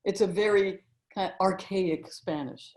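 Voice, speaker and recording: A middle-aged woman talking in a relaxed interview, telling stories and memories as the guest. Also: medium pitch, measured pace. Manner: warm, storytelling reminiscence with frequent "you know". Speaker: guest, author and narrator.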